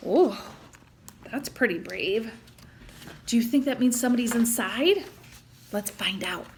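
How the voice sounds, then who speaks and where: A woman's voice: on the high side, animated, measured pace, slight background noise. A woman reading a children's picture book aloud, expressively, with chatty asides to young listeners. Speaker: storytime reader.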